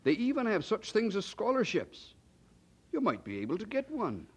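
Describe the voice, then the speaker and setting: An actor playing a kindly, persuasive older cousin who gives thoughtful advice in old-time radio drama style. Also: male voice, sits high, wildly swooping pitch, slightly rough, exaggerated voice. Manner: encouraging, gently probing, thoughtful pauses. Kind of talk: mid-century radio drama acting.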